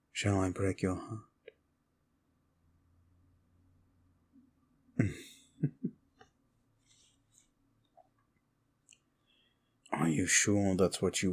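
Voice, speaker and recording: A man's voice: sits low, gravelly, breathy edge, heavily acted. A man voicing a sardonic, seductive demon of desire in a comfort ASMR roleplay, teasing and musing without hurry.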